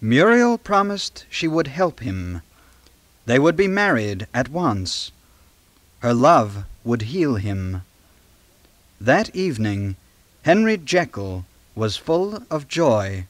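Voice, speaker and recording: A man reading scripted storybook narration dramatically, in a measured, suspenseful manner. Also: medium pitch, big pitch swings, no echo.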